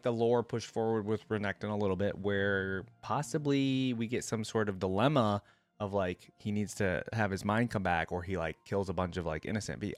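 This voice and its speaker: A man's voice: fairly low pitch, dry recording. A man hosting a reaction video, reading aloud and musing informally in casual commentary.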